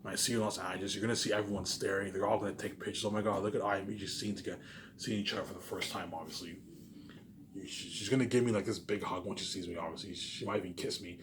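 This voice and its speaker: A man vlogging in a casual, rambling monologue, boastful and repetitive, swinging between excited bursts and muttered asides. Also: deep voice, fast, background noise. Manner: repetitive, with emphatic catchphrases; boastful, self-assured.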